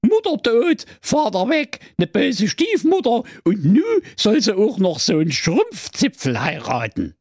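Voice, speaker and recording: A man voicing an old, wise oracle turtle, high-pitched and fussy, with a broad Saxon accent. She lays out her reasoning slowly with patient emphasis, like a storybook sage. Dry close sound. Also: very animated, darkish tone, husky, dry recording. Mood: explaining. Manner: explains with slow patient emphasis.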